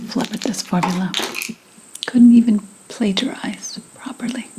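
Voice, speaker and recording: Slow whispering witch, played by a woman. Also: mid-range voice, noisy background. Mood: scornful. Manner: slow, deliberate, scornful whispering with pauses and sighs. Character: indignant 17th-century witch, bitter potion-maker and healer. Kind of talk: whispered ASMR roleplay.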